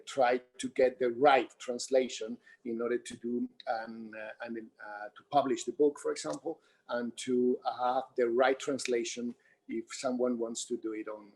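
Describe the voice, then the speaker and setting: A man's voice: medium pitch, a little mellow, slightly rough. A man with a Spanish accent, a theatre director explaining his work in a hesitant way at a Q&A. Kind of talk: explaining in a post-show Q&A.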